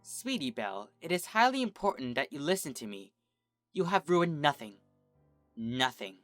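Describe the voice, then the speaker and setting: Man playing an elegant doting pony lady, posh and soft-spoken, lilting delivery. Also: a little high, very animated, moderate pace, no echo, soft background music. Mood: firm. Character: refined elegant grown mare, gentle and doting.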